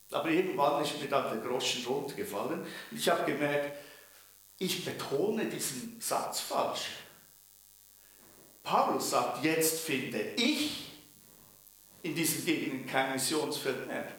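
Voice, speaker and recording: Echoey, higher-voiced Swiss German preacher. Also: male voice, fairly bright tone. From canton of Bern, Switzerland. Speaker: preacher and mission leader. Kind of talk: sermon. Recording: very echoey room, distant from microphone.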